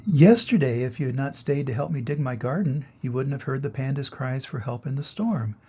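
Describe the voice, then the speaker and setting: A man's voice: medium pitch, brisk, mellow, slightly rough, lo-fi sound, close to an everyday voice. An older man voicing a wise old male turtle in a storybook, teaching in a patient, explanatory manner.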